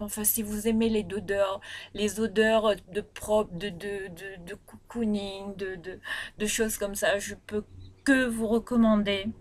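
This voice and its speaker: A woman with a Réunion Creole French accent, chatting casually as she reviews beauty products in her bedroom, rambling with lots of fillers and restarts. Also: medium pitch. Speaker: beauty vlogger.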